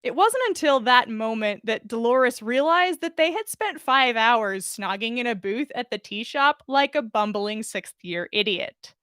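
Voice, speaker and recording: A woman hosting a podcast, speaking in an expressive, casual storytelling style. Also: fairly high pitch, a little slow, hardly any pauses, no echo, studio quality. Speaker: podcast host reading fan fiction. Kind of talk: expressive story reading and casual livestream chat.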